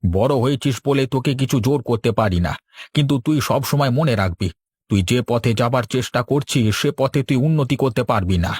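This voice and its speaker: A man's voice: medium pitch, brisk, darkish tone, slightly rough, no echo, clean studio sound, lightly acted. A man voicing a bitter, disapproving old farmer father in a cartoon, scolding and nagging. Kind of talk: cartoon dialogue.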